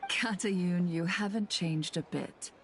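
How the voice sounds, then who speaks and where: A lowish-voiced, shrewd woman broker from a video game. She talks with composed, poised assurance, with faint noise in the background. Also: slow pace.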